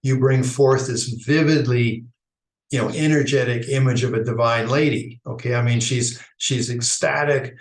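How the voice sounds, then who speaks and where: A man's voice: mid-range voice, fairly even intonation. Reflective man in an echoey room. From Idaho, United States. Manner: thoughtful, halting, with frequent restarts and fillers. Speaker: Buddhist teacher. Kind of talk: unscripted dharma talk.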